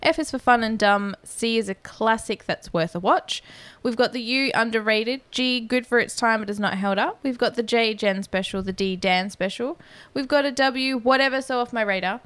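A woman's voice: on the high side, normal speed, fairly bright tone, smooth, dry recording. A woman with an Australian accent co-hosting a horror movie podcast, chatting in a wry, easy way and laughing often. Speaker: podcast co-host. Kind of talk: casual banter.

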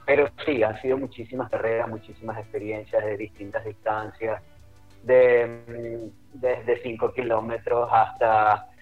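A man's voice: on the low side, level tone, a little slow, phone-quality sound, faint music behind. A man in his fifties with a Caracas Venezuelan accent, a running club director talking as a guest, friendly and chatty with frequent restarts. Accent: Caracas Venezuelan Spanish. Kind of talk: interview guest, reminiscing.

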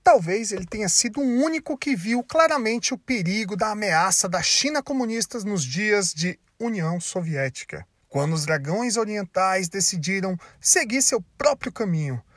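A man's voice: high-pitched, very animated, moderate pace, fairly bright tone, no room sound. Jokey Brazilian man narrating, dry sound. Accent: Brazilian Portuguese. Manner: jokey, with slang asides and stumbles over names. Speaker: video host and narrator. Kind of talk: casual narrated commentary video.

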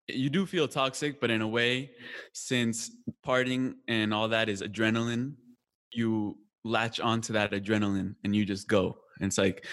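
A young man from Colombia speaks as a guest in a relaxed, reflective conversation. He restarts his phrases often and leans on fillers. Every so often he laughs at himself. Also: fairly low pitch.

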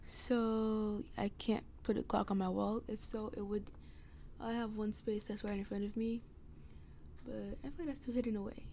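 Young woman with scratchy, creaky voice. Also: medium pitch, steady tone, moderate pace, mellow. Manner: hesitant, meandering. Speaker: podcast host. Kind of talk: casual rambling solo chat. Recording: noticeable background noise.

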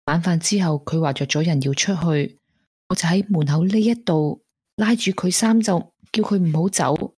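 An actress playing a gentle, grieving young woman weighed down by guilt, in a Hong Kong Cantonese radio drama. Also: fairly low pitch, moderate pace, husky, no echo, studio quality. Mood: sorrowful regret.

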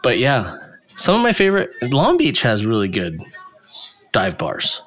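Joking, animated man co-hosting. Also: medium pitch, a little slow, soft background music. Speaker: podcast co-host. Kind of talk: casual chat. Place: home in Long Beach.

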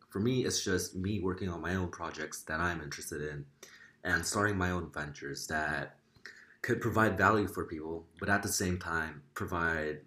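A high school junior from Korea with an American accent, a guest chatting casually on a podcast. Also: male voice, sharp tone, echoey room. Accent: American English. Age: teens.

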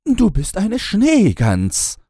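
Man voicing a scornful princess, pitched high. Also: huge pitch swings, extremely slow, dark tone, husky, dry recording, clean studio sound, exaggerated voice. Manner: scornful, dismissive. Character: haughty eldest princess, mocking and scornful.

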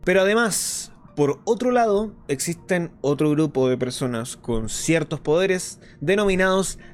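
Man playing a higher-pitched clandestine radio host over music. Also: expressive, unhurried. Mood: explanatory, intrigued. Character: clandestine radio host of a dystopian resistance show, earnest and explanatory. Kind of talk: scripted radio drama monologue. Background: music behind the voice.

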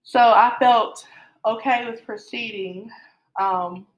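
A woman's voice: on the high side, steady tone, slow, poor audio. A middle-aged woman casually telling her love story, rambling with many fillers and restarts.

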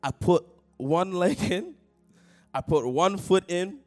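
A man's voice: high voice, slow pace, soft background music. A young man preaching a sermon, telling jokey stories and calling out to the congregation. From Toronto, Canada. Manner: animated, jokey, calls out to the congregation. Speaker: pastor. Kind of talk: sermon with personal storytelling.

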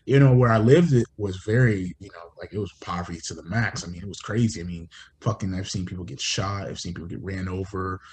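A man who grew up in Connecticut shares stories in a casual podcast chat. His voice is low, and he talks in an animated, slangy way, swearing often. Faint background noise sits under the recording. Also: fast talker.

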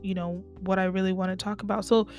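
A woman's voice: mid-range voice, level tone, quick pace, a little mellow, soft background music. Chatty woman hosting a faith podcast. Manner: chatty, conversational, addresses listeners directly. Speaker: podcast host. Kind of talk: casual faith talk.

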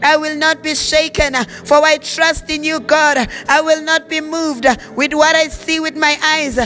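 Woman leading prayer, high bright voice, even and steady, repetitive fervent declarations. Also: a little slow. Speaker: prayer leader. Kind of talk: spoken prayer with speaking in tongues.

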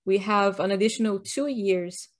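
A woman's voice: medium pitch, normal speed. Chatty, wry woman co-hosting a podcast. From Brazil. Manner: wry, joking, chatty explainer. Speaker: podcast co-host. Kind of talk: casual chat.

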